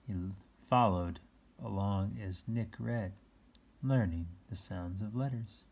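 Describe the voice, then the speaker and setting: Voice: low voice, takes it slowly, a little mellow. A person reading a children's picture book aloud in an informal, chatty way, adding asides and explaining words simply to young listeners.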